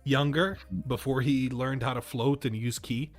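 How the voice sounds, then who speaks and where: A young man in casual, animated, slangy chat, swearing freely as he tells stories. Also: mid-range voice, normal speed, soft background music. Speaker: podcast host.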